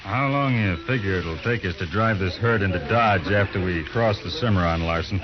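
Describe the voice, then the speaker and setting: An actor playing a gruff, contemptuous trail boss with a folksy, commanding cowboy drawl in an old radio western. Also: male voice, on the low side, normal speed, lightly acted. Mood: weary, matter-of-fact. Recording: muffled old radio recording.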